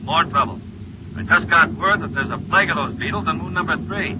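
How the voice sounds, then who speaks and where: An actor playing a crisp, dutiful squadron officer in an old-time radio sci-fi serial, reporting like a military radio call. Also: male voice, a little high, brisk, lightly acted. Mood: grave, urgent. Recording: noisy, muffled old radio broadcast recording.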